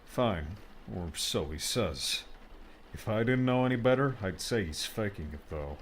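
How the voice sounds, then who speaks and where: A man voicing a brisk, fatherly older man who teases and looks after others. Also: on the low side, animated, a little hiss or hum. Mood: wry. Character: older man, gruff caring guardian.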